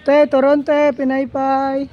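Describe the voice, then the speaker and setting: A woman with a Davao Cebuano accent who drives a pedicab and vlogs, calling out for passengers on quiet residential streets and chatting casually. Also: sits high, monotone. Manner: slow, drawn-out repeated calls for riders. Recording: muffled outdoor audio with faint street noise.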